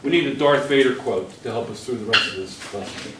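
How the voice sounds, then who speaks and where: A man's voice: mid-range voice, brisk, noisy background. A middle-aged man teaching a chemistry class at the whiteboard, encouraging and quizzing his students as he works through problems. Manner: encouraging, questioning students constantly. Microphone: far camera. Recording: roomy classroom from a distant camera.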